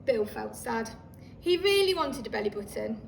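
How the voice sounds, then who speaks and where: A woman reading a children's story aloud like a teacher, expressive and talking listeners through the pictures. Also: on the high side, normal speed, vocal fry. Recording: roomy, distant microphone, faint noise.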